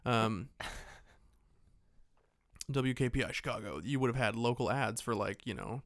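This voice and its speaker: A man's voice: medium pitch, slow pace, a bit bright, no room sound. A man co-hosting a podcast, chatting casually about films in a rambling, jokey way with some swearing. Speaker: podcast co-host. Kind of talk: casual film discussion chat.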